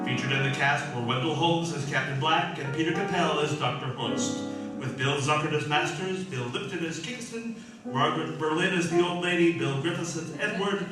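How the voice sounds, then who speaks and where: A man's voice: on the high side, sharp tone. A man reading credits formally as an old-time radio drama announcer. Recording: very echoey, distant, music behind.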